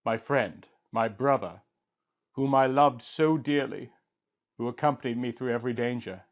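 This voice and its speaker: A man's voice: medium pitch, normal speed, dull audio, pitched below the performer's own voice, close to an everyday voice. A man voicing an anguished, proud wandering king in an impassioned lament, as part of a storytelling reading. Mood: mournful.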